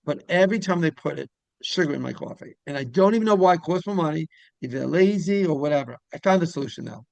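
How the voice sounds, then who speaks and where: Male interview host, casual and rambling, with lots of fry and a very dry, close sound. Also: a little high, lively intonation, fast, no room sound. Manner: rambling, repeats phrases, griping about pet peeves.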